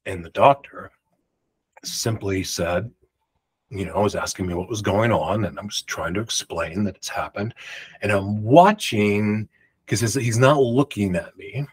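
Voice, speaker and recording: A man in his forties telling a rambling personal story to camera in a room at home, talking emphatically and projecting loudly. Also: on the low side, a little slow, slightly rough. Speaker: vlogger. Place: home room with pictures on the walls. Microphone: large studio mic beside him.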